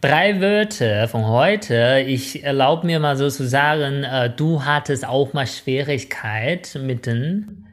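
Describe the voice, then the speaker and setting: Slow-talking woman with a Chinese accent. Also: extremely low pitch, animated. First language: Mandarin Chinese. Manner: slow, hesitant, with frequent filler sounds. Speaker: podcast co-host. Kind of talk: casual podcast chat.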